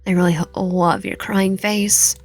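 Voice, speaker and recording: A woman's voice: medium pitch, fairly even intonation, a little slow. A woman voicing a doting, possessive man in a romance story, cooing and condescending. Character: doting, possessive man, tender and creepily condescending captor-husband.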